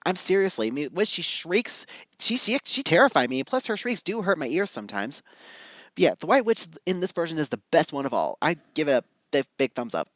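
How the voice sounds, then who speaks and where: A video reviewer of unclear sex giving informal, opinionated commentary to viewers in a halting, emphatic way. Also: mid-range voice, sing-song, fairly bright tone, no echo.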